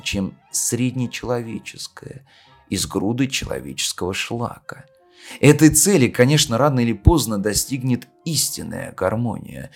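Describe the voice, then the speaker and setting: A solemn male poet played by a man declaims a literary speech at a slow pace. He sets phrases apart with deliberate pauses, in a mid-range voice. Music plays faintly behind him. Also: medium pitch, a little mellow, husky. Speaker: reader of a poet's speech in an audio play. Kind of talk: literary oration, declaimed reading.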